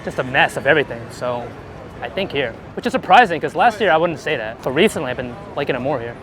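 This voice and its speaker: A man's voice: mid-range voice, lively intonation, fast talker, crowd noise. A man being interviewed as a guest, chatting casually and informally on a busy city street. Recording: noisy outdoor city street with traffic.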